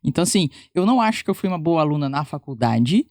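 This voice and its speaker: A woman's voice: low voice, very animated, fast talker, darkish tone, no echo, clean studio sound. A woman with a Paraná Brazilian accent, a physiotherapist chatting informally as a podcast guest, full of fillers and restarts. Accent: Paraná Brazilian Portuguese. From Brazil, born in Minas Gerais, raised in Paraná. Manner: chatty and informal, many fillers and self-corrections. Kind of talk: casual interview conversation.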